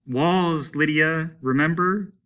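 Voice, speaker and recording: A male teacher reading aloud, playing a calm husband who reassures his wife with light amusement. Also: on the high side, takes it slowly, smooth, pitched above the performer's own voice. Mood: reassuring. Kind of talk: classroom read-aloud.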